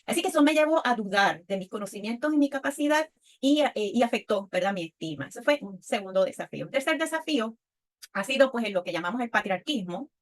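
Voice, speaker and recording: Higher-voiced Puerto Rican woman panelist. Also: expressive, fast. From Puerto Rico. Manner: reflective, often tags phrases with a checking question. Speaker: panelist, women's leadership speaker. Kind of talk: personal testimony at a panel.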